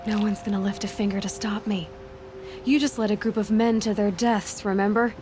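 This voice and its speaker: An actress playing a stern, no-nonsense young huntress heroine in a video game, firm, terse and dry. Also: female voice, medium pitch, fairly even intonation, a little fast, noisy background, lightly acted. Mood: cold threatening.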